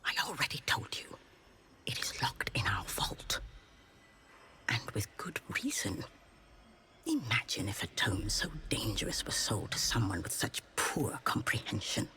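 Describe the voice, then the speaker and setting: Haughty, whispering librarian. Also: female voice, very deep voice, wildly swooping pitch, measured pace, sharp tone, full character voice. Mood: condescending. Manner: whispering, prim, condescending. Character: haughty, hushed, book-loving female shop librarian. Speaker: bookseller in literature department. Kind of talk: fantasy video game acting.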